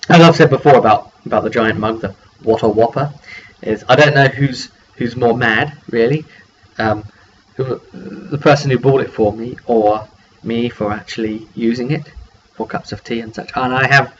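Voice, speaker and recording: A man giving a casual, rambling, conversational game master's recap of a tabletop role-playing session in a one-take vlog. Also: mid-range voice. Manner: rambling, conversational, acts out brief dialogue. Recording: dull lo-fi sound, clear background noise.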